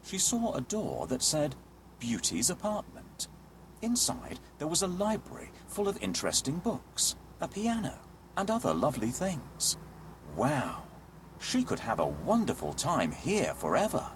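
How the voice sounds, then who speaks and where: A man narrating a scripted children's fairy tale as an expressive, dramatic storyteller. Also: a little high, measured pace, slight background noise.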